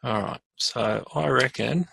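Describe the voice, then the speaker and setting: A man presenting a casual software tutorial, informally thinking aloud as he demonstrates each step. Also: medium pitch, a little slow, gravelly, very noisy. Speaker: webinar presenter.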